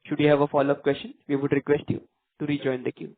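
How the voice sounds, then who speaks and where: A man's voice: on the high side, monotone, fast talker, vocal fry. A man moderating a conference call, making formal scripted announcements and introducing callers. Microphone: conference call line. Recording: narrow tinny phone line.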